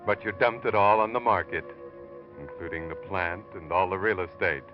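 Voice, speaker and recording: A man acts a sinister omniscient storyteller in an old radio thriller. His very low voice insinuates and taunts. Music plays clearly behind him on a dull vintage broadcast. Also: normal speed, close to an everyday voice. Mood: knowing, taunting. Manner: knowing, insinuating, sardonic. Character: sinister all-knowing narrator taunting a killer. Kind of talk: suspense narration, 1940s radio.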